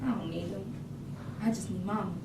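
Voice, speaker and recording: A woman's voice: mid-range voice, fairly even intonation, moderate pace, gravelly, distant mic, natural voice. Woman acting proud young art student, echoey room. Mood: hurt, wistful. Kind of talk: staged reading of dramatic monologue. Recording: echoey room from afar, noisy.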